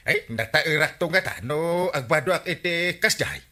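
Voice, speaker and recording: Excitable vain village captain, swooping voice. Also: male voice, on the high side, measured pace. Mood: jovial, boastful. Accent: Ilocano. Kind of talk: Ilocano radio comedy drama acting.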